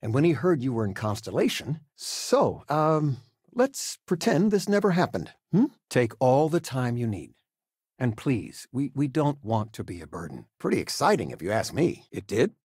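Game dad, older: husky, very animated pitch, folksy, fussing, gently humorous retired teacher. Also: male voice, normal speed, no room sound, clean studio sound. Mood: gentle, hesitant. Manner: hesitant, folksy, expressive. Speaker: voice actor. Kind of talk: video game character dialogue.

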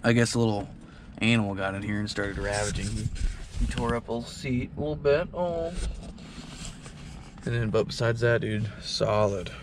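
A man's voice: lowish voice, moderate pace, long pauses, noisy background. Young man in casual shop talk. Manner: relaxed, joking, lots of "like" fillers. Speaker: car restorer. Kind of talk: casual hands-on chat. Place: outdoor lot, then a garage workshop.

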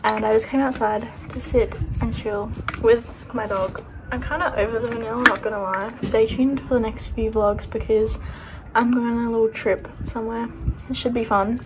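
A woman's voice: fairly high pitch, steady tone, moderate pace. A young adult woman with an Australian accent, chatting casually and informally to camera as a vlogger. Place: varies: car, backyard, kitchen, bedroom. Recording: noisy home-shot vlog audio.

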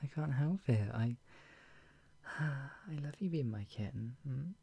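An actor performing an intimate roleplay as a doting, playful, teasing lover, murmuring with soft hums, giggly hesitations and drops into whispers. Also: medium pitch, animated, takes it slowly, no echo, full character voice. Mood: teasing, adoring. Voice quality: breathy, husky, often dropping into whispers. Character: doting, playful, flustered lover tenderly caring for an ill pet-named partner.

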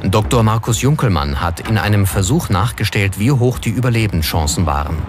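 A man's voice: fairly low pitch, animated, fairly quick. A man reading scripted documentary narration as the narrator. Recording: music playing behind the voice. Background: background music.

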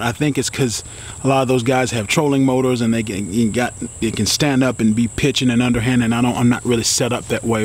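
A middle-aged American man giving casual fishing advice to the camera in an informal, rambling, repetitive way. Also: mid-range voice. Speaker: fishing vlogger. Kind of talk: casual instructional talk to camera. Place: outdoors beside a building in a green yard. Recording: clearly noisy outdoor insect ambience.

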